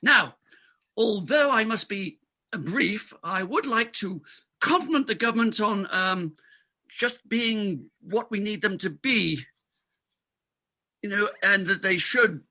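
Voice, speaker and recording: A man's voice: high voice, expressive, slow, pauses often, pushed and bright, full character voice. A man playing a pompous, evasive middle-aged London doctor, blustering and stammering through a comic stage play reading. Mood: pompous, speechifying.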